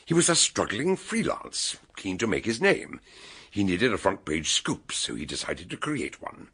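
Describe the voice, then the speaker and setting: An actor playing a shrewd, commanding older British gentleman detective in a radio drama, booming and declamatory. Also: sing-song, a little slow, breathy edge, full character voice. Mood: explaining, excited.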